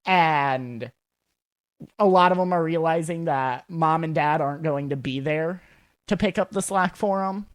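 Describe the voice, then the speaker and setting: A woman's voice: low voice, measured pace. A woman taking part in a casual group discussion as one of the panel. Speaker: panel participant.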